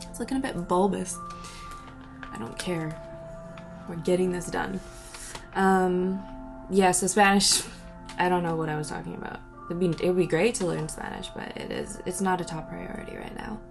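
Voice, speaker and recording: A woman's voice: on the low side, gravelly. A young woman answering viewer questions in a rambling, casual chat, breaking off mid-sentence and laughing between thoughts.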